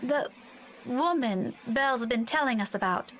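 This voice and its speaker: A woman's voice: a little high, expressive, measured pace, fairly bright tone, a little hiss or hum. A woman playing a worried, insistent young woman in a horror visual novel game. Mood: uneasy, earnest.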